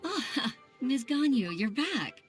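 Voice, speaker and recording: A woman's voice: high voice, big pitch swings, takes it slowly, full character voice. A woman playing an earnest, courteous female government clerk in a video game. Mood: grateful, relieved. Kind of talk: video game character acting. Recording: music playing behind the voice.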